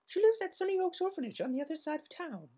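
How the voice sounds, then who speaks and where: A woman's voice: extremely high pitch, big pitch swings, mellow, voice pushed up. A woman voicing an elegant, composed female unicorn with a refined, caring manner in a story reading. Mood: gentle, composed. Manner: refined, polite, measured phrasing.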